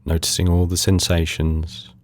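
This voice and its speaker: A man leading a guided meditation in calm, unhurried, soothing short phrases with pauses between them. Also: sits low, no room sound, clean studio sound. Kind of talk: guided body scan meditation.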